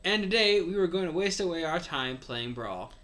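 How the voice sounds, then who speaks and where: A man's voice: high voice, animated, normal speed, a bit bright. A man reading a story aloud as a co-host, slipping in casual asides.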